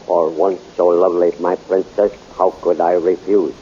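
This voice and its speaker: An actor playing a sly, flattering thief turned servant in a 1930s radio serial, in a dull lo-fi old recording. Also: male voice, low voice, normal speed, husky. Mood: fawning.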